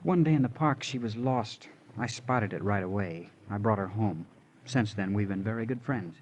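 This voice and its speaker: An actor playing a gentle, devoted young drifter in old radio drama, talking simply, earnestly and hesitantly. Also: male voice, on the low side, moderate pace, lightly acted. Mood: earnest, halting. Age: young adult. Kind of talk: 1940s-50s radio drama acting. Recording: noisy vintage radio recording.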